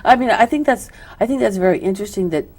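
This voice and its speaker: A woman's voice: mid-range voice, lively intonation, quick pace, darkish tone. A middle-aged woman hosting a local arts interview show, asking long, rambling questions full of "you know". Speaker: TV show host. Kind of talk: casual interview. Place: local cable TV studio.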